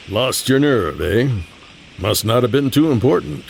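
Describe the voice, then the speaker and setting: Gravelly old storytelling grandfather. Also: medium pitch, lively intonation, takes it slowly. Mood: teasing, fond. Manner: teasing, dramatic storytelling. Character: proud, teasing old grandfather and storyteller of noble house. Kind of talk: fantasy audio drama acting. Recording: clear background ambience noise.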